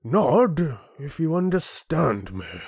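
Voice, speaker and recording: An older, gluttonous fantasy chieftain, acted out by a male audiobook reader, speaking in a raised pitch. He is pompous and sneering, theatrically dismissive of those beneath him. The sound is close and dry. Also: very animated, slow, darkish tone, breathy edge, dry recording, heavily acted. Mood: smug, mocking. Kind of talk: audiobook character acting.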